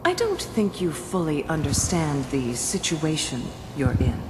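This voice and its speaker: A woman playing a cool, commanding official of a secretive organisation in a video game, formal and measured. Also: low-pitched, wildly swooping pitch, echoey room, in a large hall, noisy background, exaggerated voice. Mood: cool authority.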